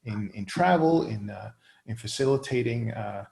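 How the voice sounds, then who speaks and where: Gravelly, hesitant man searching for words. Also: lowish voice, lively intonation, moderate pace, dark tone. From Netherlands. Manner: many fillers and restarts, searching for words. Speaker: interviewee. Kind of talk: hesitant conversational answers.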